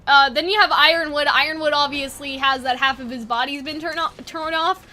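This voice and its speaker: A woman hosting a casual brainstorming chat, animated and rambling, with a playfully dismissive way of talking. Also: sits high, brisk. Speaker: video host.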